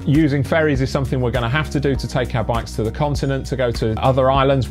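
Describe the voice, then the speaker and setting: Young British man giving brisk tips. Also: medium pitch. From Britain. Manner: brisk, earnest explaining with dry asides. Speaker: family cycle touring vlogger. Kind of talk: talking-to-camera tips. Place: indoor room with brick wall and bikes. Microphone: lapel mic.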